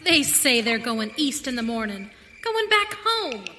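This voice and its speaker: A woman's voice: on the high side, big pitch swings, a little slow, bright, forceful tone, a little hiss or hum, full character voice. A woman playing a hopeful, wistful pioneer in a western radio play, speaking in folksy American frontier dialect. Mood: earnest. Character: Jean, hopeful young pioneer woman, wistful and gentle-natured. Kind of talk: live western radio theater acting.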